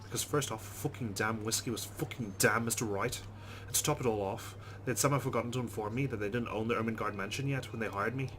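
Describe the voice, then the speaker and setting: A man's voice: fairly low pitch, fast talker, fairly bright tone, a little hiss or hum. A man hosting a let's play, reading visual novel text aloud and adding informal, chatty commentary.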